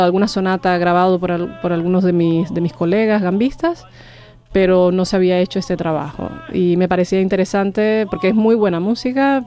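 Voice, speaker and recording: A woman's voice: on the low side, warm tone, clear, resonant tone. Hesitant woman musician. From Cuba. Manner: hesitant, many restarts and fillers. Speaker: viola da gamba player, guest. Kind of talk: radio interview.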